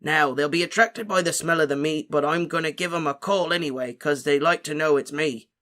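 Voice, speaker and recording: A man voicing a huge, kindly male gamekeeper who talks in a hearty, rough country dialect. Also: on the high side, fairly quick, pushed and bright. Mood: cheerful.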